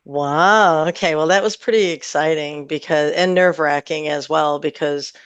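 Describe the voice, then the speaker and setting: A woman's voice: low voice, moderate pace, smooth, no echo. A woman with an American Midwest accent talks in a casual interview as a songwriter guest. She is reflective and lets her sentences wander as she thinks. The sound is dry, with very little room in it. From northern Michigan, USA. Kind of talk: casual radio interview chat.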